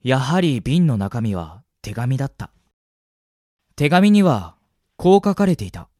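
A man playing a gentle, wistful young university student who narrates a radio drama in an earnest, reflective way. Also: mid-range voice, sing-song, unhurried, no room sound, clean studio sound. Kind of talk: radio drama acting with first-person narration.

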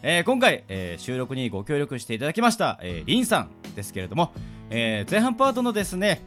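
Male co-host, playful and animated, mid pitch, faint guitar backing. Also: quick pace. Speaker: radio show co-host. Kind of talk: casual bantering group chat.

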